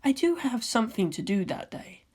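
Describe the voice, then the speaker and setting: A woman voicing a serene, courteous teenage boy with a soft, measured, polite manner in a fan fiction reading. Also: a little high, big pitch swings, voice pushed up. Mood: calm, gently courteous.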